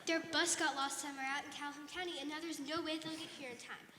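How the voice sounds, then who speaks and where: A woman's voice: sits high, steady tone, fast, sharp tone. A woman playing a fretful, exasperated backstage worker in a comic radio show, recorded in an echoey hall with noise. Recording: echoey hall, noisy background.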